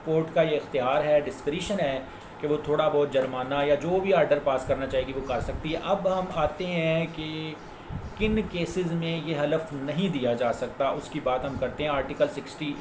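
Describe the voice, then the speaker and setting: Pakistani male lawyer, slightly high voice, informal explainer lecture; echoey, noisy room. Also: normal speed. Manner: explanatory, repeats phrases, mixes in English legal terms. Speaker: lawyer giving legal explainers on own channel. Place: indoors, office. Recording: echoey room with clear background noise.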